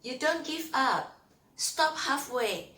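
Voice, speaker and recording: A woman reading aloud and teaching as a children's storyteller, phrasing carefully and deliberately in short chunks. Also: sits high, lively intonation, pushed and bright.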